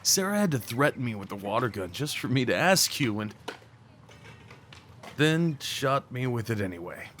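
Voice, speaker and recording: A man acting a young small-town guy, an awkward and tender old friend, in a casual, halting, intimate audio roleplay. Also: mid-range voice, very animated, a bit bright, slight background noise. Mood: fond, nostalgic. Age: young adult. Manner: halting, stammering, slangy, chuckling.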